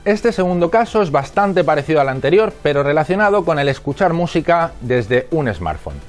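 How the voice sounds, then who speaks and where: A man's voice: a little high, sing-song, brisk, faint music behind. A man with an accent from Spain reading scripted instructional narration in a methodical, didactic manner. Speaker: narrator of a product explainer.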